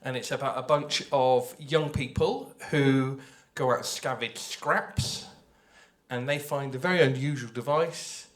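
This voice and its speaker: A man's voice: mid-range voice, steady tone, unhurried. A man giving an informal panel presentation, conversational and hesitant, often correcting himself. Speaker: panelist, audio drama creator. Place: festival panel before an audience.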